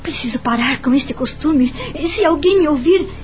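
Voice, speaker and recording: High-voiced, anxious young Brazilian governess. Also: female voice, animated, unhurried, a little mellow, a little hiss or hum. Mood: startled, agitated. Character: anxious, curious young governess exploring a mysterious mansion. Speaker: radio drama lead actress and narrator. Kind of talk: Brazilian radio drama, first-person narration and dialogue.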